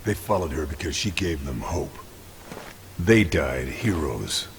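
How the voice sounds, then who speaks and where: Very deep, stoic soldier. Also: male voice, very animated, slight background noise, heavily acted. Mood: defiant. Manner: terse, grave, measured. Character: stoic, determined lone Allied sniper officer. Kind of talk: video game cutscene acting and mission narration.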